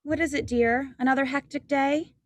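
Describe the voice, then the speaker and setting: A woman playing a patient, concerned wife and mother in a 1940s radio drama, with a gentle manner. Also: sits high, fairly even intonation, moderate pace, no room sound, natural voice.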